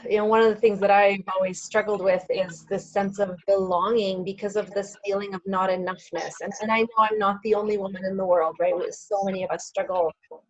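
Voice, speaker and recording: A woman's voice: medium pitch, fairly even intonation, brisk, highs cut off. A woman co-hosting a podcast, talking in an earnest, searching way. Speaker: podcast co-host. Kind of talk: casual chat.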